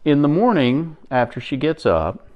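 A man in his forties reading a picture book aloud to young children, expressively and with deliberate pauses. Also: on the high side, sing-song, muffled recording.

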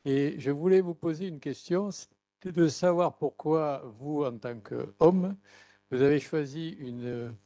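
A man's voice: a little high, unhurried, mellow, no echo. A man in his seventies politely putting a question from the audience into a handheld microphone. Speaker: audience member asking a question.

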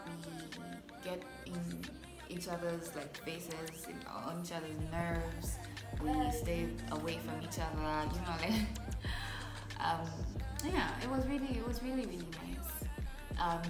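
A young woman chats to her phone camera from her bedroom, rambling with lots of fillers and easy laughs. Her voice sits fairly low for a woman, and clear music plays underneath. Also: slow pace. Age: young adult. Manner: chatty, filler-heavy, laughs often. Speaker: vlogger. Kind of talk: casual chat to camera. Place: bedroom at home, indoors. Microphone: phone or camera at arm's length.

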